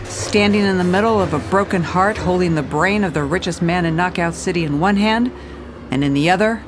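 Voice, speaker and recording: Actress as a low-voiced hard-boiled police commissioner. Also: female voice, a little fast, over music, lightly acted. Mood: weary, brooding. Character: world-weary, determined female police commissioner, hard-boiled noir narrator. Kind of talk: scripted noir narration, audio drama.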